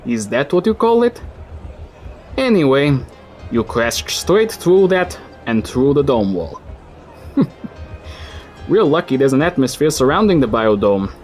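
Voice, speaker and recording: A man's voice: mid-range voice, big pitch swings, a little slow, pauses often, a little mellow, faint music behind. An actor in his thirties playing a friendly, folksy local man, who reassures a stranger. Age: 30s. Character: friendly male city dweller, folksy and helpful. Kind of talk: audio drama acting.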